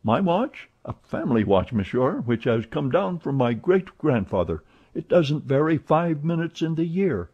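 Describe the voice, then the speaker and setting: A man voicing an excitable, chatty young French manservant who talks eagerly and protests often, in a dull lo-fi recording. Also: on the high side, breathy edge, voice pushed up, heavily acted. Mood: excited. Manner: eager, exclamatory, quick to protest.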